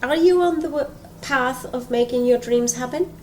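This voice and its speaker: A woman's voice: high voice, moderate pace, darkish tone, a little hiss or hum. A woman from Iceland hosting a podcast interview, encouraging and constantly affirming her guest. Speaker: podcast host. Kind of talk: casual interview.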